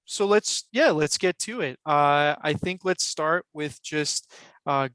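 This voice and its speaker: Bright, crisp-voiced man moderating. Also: fairly high pitch, no room sound. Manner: loose, filler-heavy, apologetic. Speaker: panel moderator. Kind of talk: moderating a video call panel. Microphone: video call.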